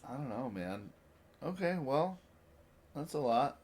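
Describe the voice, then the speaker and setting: Blunt American man chatting, mid-pitched voice. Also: big pitch swings, measured pace, a little mellow. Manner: blunt, swearing freely. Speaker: podcast host. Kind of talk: casual chat.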